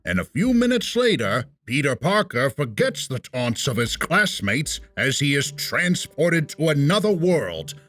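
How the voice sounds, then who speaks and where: A man's voice: on the high side, sing-song, a little slow, few pauses, fairly bright tone, soft background music. A man giving theatrical, scripted comic-book narration with a dramatic showman's delivery.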